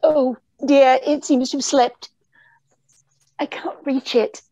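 A woman's voice: high-pitched, fairly even intonation, slow, warm tone, full character voice. A woman playing a fretful, romantic, melodramatic heroine with theatrical delivery in an old-style radio play. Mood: flustered. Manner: theatrical, fluttery. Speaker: actor. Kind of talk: 1930s radio acting. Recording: dull lo-fi audio.

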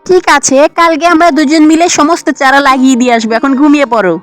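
A woman's voice: high-pitched, fast, over music, close to an everyday voice. A woman voicing an agreeable, hardworking farmer's wife in a cartoon. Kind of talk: amateur cartoon dialogue.

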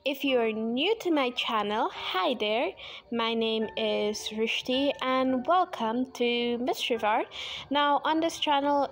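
A young woman from Guyana with a Guyanese accent, chatting casually and self-deprecatingly about art supplies while she draws at a desk. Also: on the high side. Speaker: art channel host. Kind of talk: casual chat while drawing. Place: indoors at a desk. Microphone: overhead camera on hands.

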